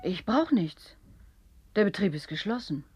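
A woman's voice: medium pitch, sing-song, unhurried, noisy background. An actress playing a weary, brusque landlady in a radio drama. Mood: weary, curt.

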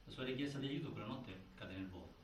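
A man playing a grave narrator of a prison-death inquiry, with deliberate, broken phrasing in a stage drama. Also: lowish voice, expressive, lightly acted. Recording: very echoey, distant, faint noise.

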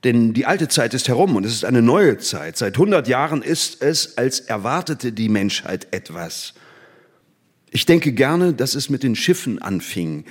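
An actor playing an enthusiastic mathematics teacher, declamatory and didactic, in a theatrical drama. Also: male voice, medium pitch, sing-song, a little slow, slightly rough. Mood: visionary, enthusiastic. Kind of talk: theatrical scripted performance.

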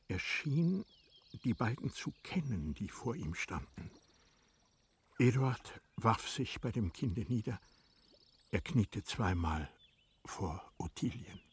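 A man with a husky voice narrating a literary radio play in a dramatic storytelling style. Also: lowish voice.

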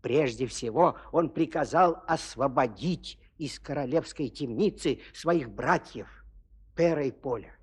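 An actor playing a folksy storyteller who confides in young listeners with rhetorical questions in a children's audio play. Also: male voice, mid-range voice, a little slow, slightly rough. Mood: confiding, playful. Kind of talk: theatrical fairy-tale narration.

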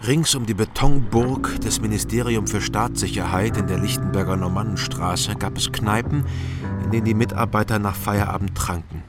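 An actor playing a wary, sober former drinker and amateur investigator in a radio drama, speaking in a dry, restrained way. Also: male voice, on the low side, normal speed, loud music behind the voice, lightly acted. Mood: dry narration. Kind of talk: radio drama acting with first-person narration.

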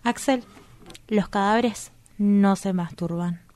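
A woman's voice: medium pitch, takes it slowly, a little mellow, natural voice. A woman with an Argentine accent playing a coy, earnest young woman in love in a radio drama. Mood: reproachful.

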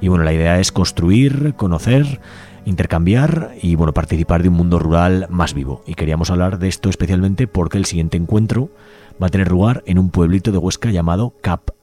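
A man's voice: low voice, a little fast. A man from Spain hosting a community radio show, speaking unhurriedly and often restarting and rewording his phrases. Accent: Peninsular Spanish. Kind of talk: unscripted radio talk segment. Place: radio studio. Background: soft music bed.

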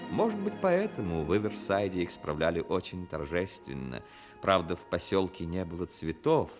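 A man narrating a 1960s radio drama as a measured literary storyteller. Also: sits low.

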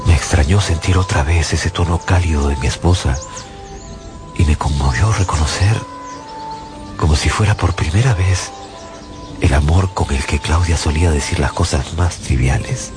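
A man's voice: a bass voice, normal speed. An actor playing a haunted survivor, a husband and father, narrating a radio drama as grave first-person testimony over music. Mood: moved, grave. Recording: loud music bed behind the voice.

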